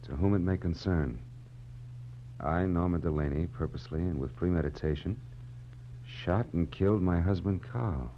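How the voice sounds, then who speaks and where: An actor playing a hard-boiled, world-weary private detective in a 1940s radio drama, with an American accent and clipped, deadpan delivery. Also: male voice, sits low, normal speed, slight background noise. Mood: grim. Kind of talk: 1940s radio noir acting, first-person narration. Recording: muffled old radio recording with music.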